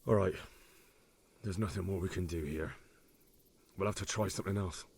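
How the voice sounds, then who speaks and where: A man's voice: low voice, moderate pace, vocal fry, a little mellow. A man playing an eager, plain-spoken young medieval man-at-arms in a game, direct and earnest. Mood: resigned. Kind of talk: dramatic game cutscene acting. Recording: faint hiss and background noise.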